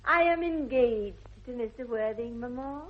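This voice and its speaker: A woman's voice: on the high side, moderate pace, lightly acted. An actress playing a self-assured, romantic young English society lady in a 1960s radio comedy, with an affected, precise upper-class accent. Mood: pleading, defiant. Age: young adult. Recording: dull old radio recording.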